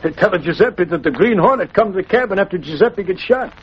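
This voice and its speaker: An actor playing a warm-hearted Italian immigrant man in an old radio drama, speaking sincere broken English with an Italian accent. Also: high voice, lively intonation, brisk, breathy edge, exaggerated voice. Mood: earnest. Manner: broken English, refers to himself by name. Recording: muffled, noisy old radio recording.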